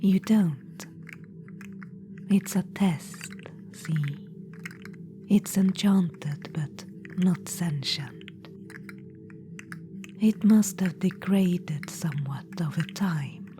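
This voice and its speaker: A woman voicing a haughty ancient witch-queen trapped in an orb, speaking in a soft, regal, condescending whisper for an ASMR roleplay. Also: lowish voice, very slow, long pauses, slight background noise.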